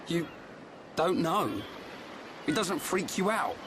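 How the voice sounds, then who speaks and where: An actor playing a brash, plain-spoken young adventurer in a video game, blunt and expressive. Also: male voice, on the high side, takes it slowly, noisy background. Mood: skeptical. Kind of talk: video game cutscene acting.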